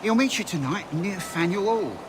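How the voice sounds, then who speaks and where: An actor playing a discreet, courteous messenger in a video game, with a gentle, polite manner. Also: male voice, sits high, lively intonation, normal speed, background noise. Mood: reassuring. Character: courteous, soft-spoken male messenger, helpful and discreet. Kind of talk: video game cutscene acting. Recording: music in background.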